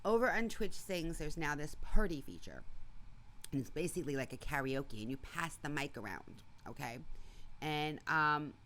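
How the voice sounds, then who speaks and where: A woman's voice: deep voice, animated, moderate pace, slight background noise. Chatty livestream host woman, voice going. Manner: chatty, rambling, greets viewers warmly by name. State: losing her voice. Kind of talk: casual chat with live viewers.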